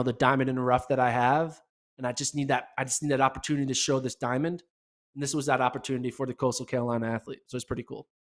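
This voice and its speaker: A man hosting a casual solo podcast recap, chatty and excitable, with a voice going hoarse. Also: mid-range voice. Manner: excitable, chatty, many fillers. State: losing his voice. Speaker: podcast host.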